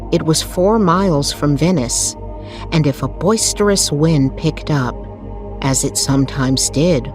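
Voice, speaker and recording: A woman narrating a scripted bedtime story in a soothing, measured manner with careful phrasing. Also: deep voice. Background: music.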